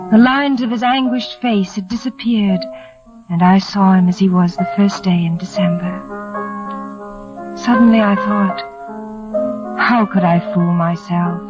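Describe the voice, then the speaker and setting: Woman acting a shy devoted bride, medium pitch, wistful, old radio drama, muffled sound, faint music behind. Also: close to an everyday voice. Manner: wistful, hushed, emotional delivery. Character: shy, fearful, devoted young plain bride. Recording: dull old 1940s radio recording with music.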